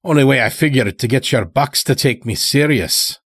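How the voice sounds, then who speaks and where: A man's voice: medium pitch, normal speed, husky, no room sound, clean studio sound, close to an everyday voice. A man voicing a weary, sly old blinded werewolf elder with a folksy, rustic drawl in an audio horror story. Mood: weary, coaxing.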